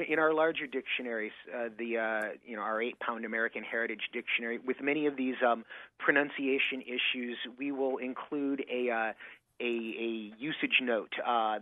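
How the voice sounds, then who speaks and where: A man's voice: mid-range voice, no echo. A man from Michigan, a dictionary editor, answering questions on a radio call-in show, hesitant with many ums and restarts. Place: remote studio in Boston. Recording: dry, phone-like audio.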